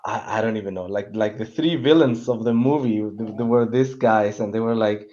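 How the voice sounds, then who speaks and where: A man talking casually and giving opinions as a film panellist, halting and searching for words. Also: fairly low pitch, dark tone. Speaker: panellist on a film talk show. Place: online call with screen sharing. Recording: dull, lo-fi call audio.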